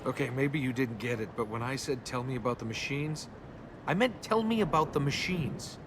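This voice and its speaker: A man's voice: mid-range voice, expressive, moderate pace, close to an everyday voice. Man playing a cocky wisecracking adventurer, noisy background. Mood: sarcastic. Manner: sarcastic, flippant. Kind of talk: video game character acting. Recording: noisy game capture with ambience.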